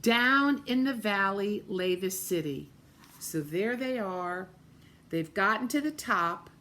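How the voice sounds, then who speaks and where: A woman reading a children's picture book aloud, gently pointing out the pictures. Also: medium pitch, lively intonation, slow pace. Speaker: storybook reader.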